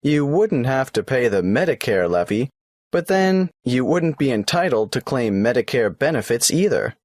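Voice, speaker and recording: A polite government clerk who takes an applicant through a form, asking his questions one by one in a patient, matter-of-fact way. His pitch rises and falls in a lively way, and the recording is dry and close. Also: normal speed, no room sound. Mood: businesslike. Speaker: tax office clerk. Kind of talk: scripted exam listening dialogue.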